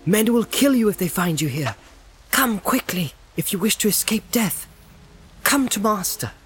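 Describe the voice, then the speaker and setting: A man voicing an earnest young male hobbit in an audiobook, pleading and coaxing with gentle, firm command. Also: high voice, very animated, normal speed, soft background music, voice pushed up. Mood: desperate, urgent. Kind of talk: audiobook character voice.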